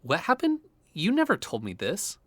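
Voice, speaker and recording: A man playing a jumpy, easygoing young man in an audio drama, with animated, slangy banter. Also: sits high, normal speed, dry recording, exaggerated voice. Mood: curious.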